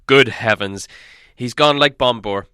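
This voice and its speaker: A man voicing exasperated storybook dwarves, calling out crossly and scolding loudly. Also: mid-range voice, unhurried, clean tone, no echo. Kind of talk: storybook character voice.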